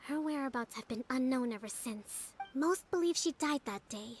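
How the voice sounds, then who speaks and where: A woman playing a gentle, composed young priestess leader who explains in a measured, formal way in a video game cutscene. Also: high-pitched, expressive, breathy edge. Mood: solemn.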